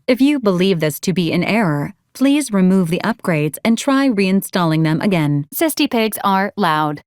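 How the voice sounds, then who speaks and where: A woman acting as a female service robot from a video game, polite and literal-minded. Her mid-pitched voice is formal, precise and clinically detached, delivering everything deadpan. The sound is very dry, with no room around it. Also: dry recording, lightly acted. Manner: formal, precise, deadpan, clipped machine phrasing. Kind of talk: scripted video game character lines.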